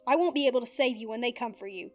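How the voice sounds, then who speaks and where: A woman voicing a nervous but hot-tempered young man who yells in anger. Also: a little high, fast, faint music behind, close to an everyday voice. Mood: furious. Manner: shouting in rage, nervous small talk. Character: nervous, furious young man hunting aliens.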